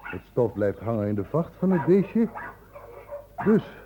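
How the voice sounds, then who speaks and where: An actor playing a sharp, precise doctor who questions and explains in a Dutch radio play. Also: male voice, medium pitch, big pitch swings, a little slow. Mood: explaining, confident. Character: sharp, probing male doctor investigating an infection outbreak. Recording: dull, noisy old radio recording.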